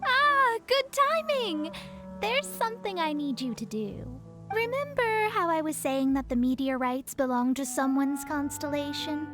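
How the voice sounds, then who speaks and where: A haughty young female astrologer from a video game. Her high voice is articulate and self-assured, with faint music underneath. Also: lively intonation, measured pace. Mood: businesslike. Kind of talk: video game character acting.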